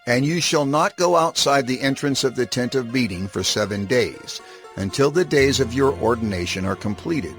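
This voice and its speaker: Male actor as authoritative biblical leader, creaky gravelly voice, animated intonation, solemn, declamatory, dramatized scripture. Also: mid-range voice, close to an everyday voice.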